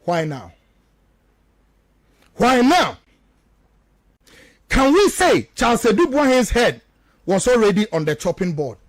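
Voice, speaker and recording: A man's voice: sits high, very animated, takes it slowly, pauses often. A man with a Ghanaian accent giving impassioned political commentary as a radio host, emphatic and repetitive, firing off rhetorical questions. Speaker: radio talk show host.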